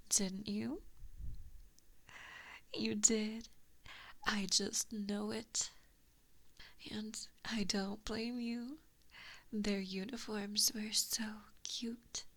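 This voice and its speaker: A woman voicing an evil, possessive giant kitsune in a whispered ASMR roleplay, teasing and cruel, close on a binaural mic. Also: medium pitch. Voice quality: whispery, breathy. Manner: slow, taunting, mock-sweet. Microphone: close binaural microphone.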